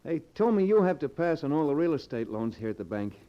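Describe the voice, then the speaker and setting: An actor playing a desperate working-class husband in 1940s radio melodrama style, urgent, blunt and slangy. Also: male voice, fairly high pitch, big pitch swings, fast talker. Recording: muffled 1940s radio recording.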